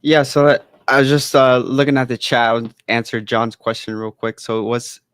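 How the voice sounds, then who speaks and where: A man answers questions casually in a smooth, clear voice. His pitch stays quite flat, and the sound around him is dry. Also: moderate pace, no room sound. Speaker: real estate wholesaler on a panel.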